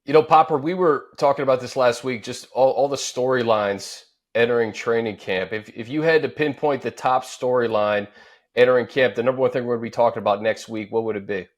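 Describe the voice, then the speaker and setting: A man's voice: mid-range voice, fairly quick. An American man hosting a sports talk show in a conversational style, setting up questions for panelists.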